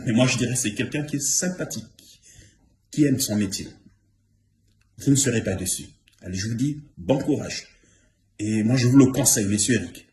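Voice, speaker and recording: A man's voice: mid-range voice, moderate pace, pauses often, darkish tone. Man in his fifties, echoey room. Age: 50s. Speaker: former trainee giving testimonial. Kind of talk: spoken informal testimonial. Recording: roomy echo, faint noise and clicks.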